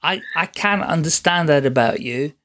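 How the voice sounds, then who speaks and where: A man hosting a podcast interview, speaking in a measured, encouraging way with some fillers. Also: a little high, a bit bright. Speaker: podcast host.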